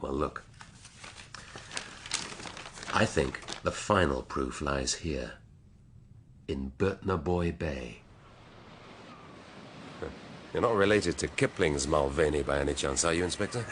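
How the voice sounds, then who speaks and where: An actor playing a wry, brooding middle-aged English police detective in a radio drama, dry and thoughtful. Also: male voice, very deep voice, moderate pace, a bit bright, a little hiss or hum. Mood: musing, intent. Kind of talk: naturalistic radio drama acting.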